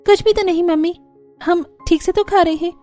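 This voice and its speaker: A woman's voice: very high-pitched, steady tone, a little fast, warm tone, faint music behind, pitched above the performer's own voice. A woman voicing a sly, nervous young college-going daughter in a cartoon family story, evasive and whiny.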